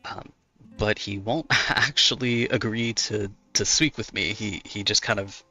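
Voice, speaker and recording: Hoarse, gravelly man chatting casually. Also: lowish voice, a little slow, pushed and bright, rough recording. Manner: rambling, self-correcting, laughs often. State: hoarse from talking a lot. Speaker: artist streaming while drawing. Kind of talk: casual chat answering viewers.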